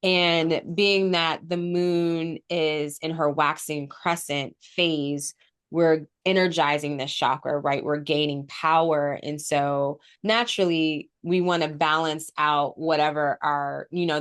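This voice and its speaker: A woman's voice: deep voice, fairly even intonation, takes it slowly, clear, resonant tone. A woman co-hosting a casual spiritual podcast, congested from a cold with a sore throat, explaining at length with frequent ums. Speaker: podcast co-host.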